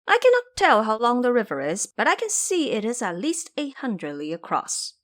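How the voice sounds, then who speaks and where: Woman doing a boastful monkey trickster guy, high voice, swoops around, theatrical audio drama style. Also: wildly swooping pitch, moderate pace, fairly bright tone. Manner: theatrical, boastful, addressing elders and juniors. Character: Monkey King disciple, boastful, cocky male trickster.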